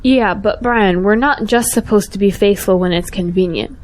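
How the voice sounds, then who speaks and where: A woman's voice: mid-range voice, a little mellow, close to an everyday voice, rough recording. A young woman playing a principled, outspoken schoolteacher in an audio drama, earnest and pointed. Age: young adult.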